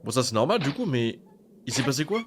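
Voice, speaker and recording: A man's voice: mid-range voice, very animated, fast, a little hiss or hum. A man giving a casual, slangy, exclamatory live reaction as an anime streamer, often repeating himself.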